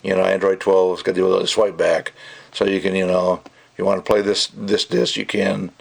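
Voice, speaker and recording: A man's voice: low-pitched, level tone, a little fast, vocal fry, slightly rough. A man giving a casual, rambling and opinionated product review, talking off the cuff.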